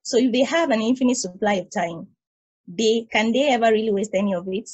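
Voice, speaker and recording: Calm husky ageless probe, voiced by a woman. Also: on the high side, fairly quick. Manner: calm, reasoned explaining. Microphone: video call.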